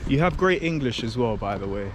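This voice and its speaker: A man's voice: medium pitch, expressive, brisk. A man hosting a travel vlog, chatting casually with locals outdoors in a town. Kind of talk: casual street interview. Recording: outdoor street noise.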